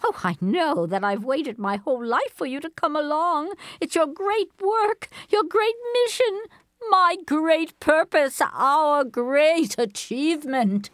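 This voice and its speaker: A very high, dramatically swinging voice gives us a prim, fervent eccentric woman. She declaims with earnest melodrama in a comic radio play, recorded close and dry. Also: expressive, slow pace, no room sound. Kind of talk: comedic radio play acting.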